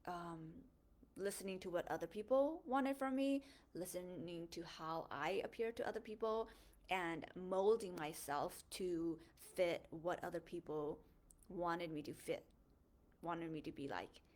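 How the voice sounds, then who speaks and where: A woman's voice: on the low side, unhurried, a little mellow. A young woman from Thailand, raised in the United States, sharing her story in an earnest, thoughtful monologue with frequent fillers and self-corrections. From born in a refugee camp in Thailand, Hmong, raised in the United States. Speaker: host of a faith podcast. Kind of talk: reflective personal monologue.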